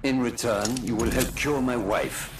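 An actor voicing a cold, grave, obsessed scientist supervillain in a video game. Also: male voice, mid-range voice, fairly even intonation, unhurried, natural voice. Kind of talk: video game character acting. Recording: loud music behind.

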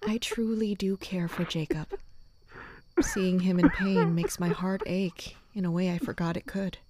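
An actress playing a guarded but caring woman in an audio drama, speaking in a calm, measured, gentle way. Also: on the low side, noisy background. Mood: pensive, guarded. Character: guarded, caring woman, composed royal investigator. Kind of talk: audio drama acting with first-person narration.

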